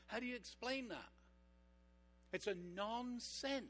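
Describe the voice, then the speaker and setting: Man, pastor, high voice, sermon, rhetorical questions, slow emphatic delivery. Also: fairly bright tone. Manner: engaging, asks the congregation rhetorical questions; slows down for emphatic points.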